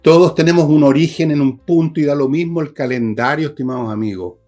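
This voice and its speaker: A man's voice: on the high side, a little fast, dark tone, soft background music. A man with a Chilean accent giving opinionated political commentary as a host, emphatic, scornful and blunt, with coarse slang.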